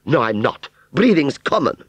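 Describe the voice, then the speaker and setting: A man's voice: mid-range voice, big pitch swings, moderate pace, breathy edge, no echo, dull audio, pitched above the performer's own voice. A man voicing a vain, haughty female fairground doll in a storybook, with an affectedly genteel but ungrammatical way of speaking. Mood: impatient, scornful.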